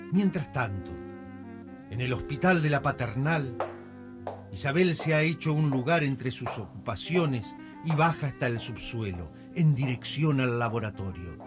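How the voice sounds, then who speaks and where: A man with an Argentine accent narrates a radio serial over clear background music. His delivery is slow and theatrical, building suspense with measured phrases. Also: medium pitch.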